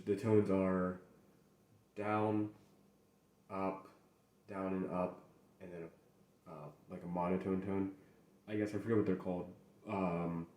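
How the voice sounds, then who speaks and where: A young man hosts a casual solo chat, his voice low and mellow. He rambles and halts, often restarting his sentences. The room around him is echoey. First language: English. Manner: rambling, halting, with frequent restarts. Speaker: host.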